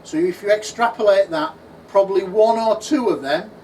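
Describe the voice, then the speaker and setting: A man speaks to a small audience in a pub function room, his voice sitting high for a man. He tells his story with energy and now and then slips into imagined voices. The mic is some way off, and faint room noise sits under him. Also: moderate pace, a little mellow, recorded from a distance, rough recording. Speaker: conference speaker. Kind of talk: conference talk to an audience.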